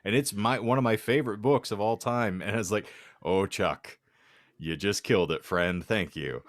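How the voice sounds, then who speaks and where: A man's voice: fairly low pitch, normal speed, no room sound, studio quality. A man hosting a casual comedy podcast, talking in a wry, wordy way with florid vocabulary. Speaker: podcast host.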